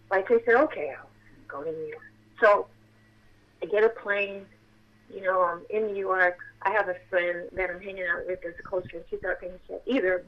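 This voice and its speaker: A middle-aged woman with a childlike voice, telling her story as an interview guest and acting out the dialogue with sudden jumps in pitch. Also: dull audio. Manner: excitable, reenacts dialogue with big pitch jumps.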